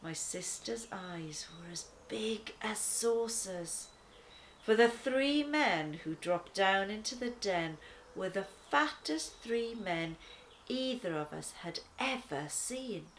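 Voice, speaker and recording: A woman reading a scripted children's story in an expressive storytelling style. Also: mid-range voice, slow pace, a little hiss or hum.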